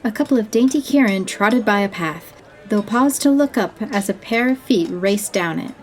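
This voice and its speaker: A woman's voice: medium pitch, expressive, moderate pace. A woman reading scripted narration for a fantasy audio story.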